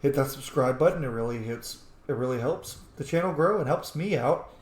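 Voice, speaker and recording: A man hosting a gaming video in a lively, swooping middle-pitched voice. He thinks aloud casually and playfully, swearing a little, in a noticeably echoey room. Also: fairly quick. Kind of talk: casual let's-play commentary.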